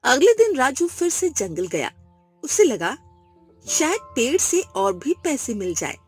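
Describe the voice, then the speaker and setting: A woman's voice: high voice, sing-song, unhurried, darkish tone, husky, dry recording, faint music behind. A woman giving scripted narration for a moral children's story.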